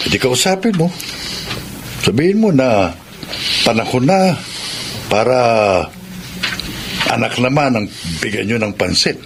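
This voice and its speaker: A man's voice: medium pitch, sing-song, measured pace, long pauses. A Filipino man playing a frank, encouraging older confidant who prods and advises, in a radio drama. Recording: very noisy radio drama recording.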